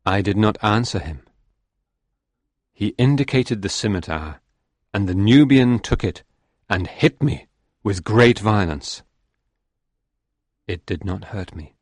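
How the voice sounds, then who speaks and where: Proud, greedy soul of a fisherman, voiced by a man, slow and low-pitched, storybook telling. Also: expressive, no echo, studio quality. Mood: measured. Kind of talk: audiobook reading.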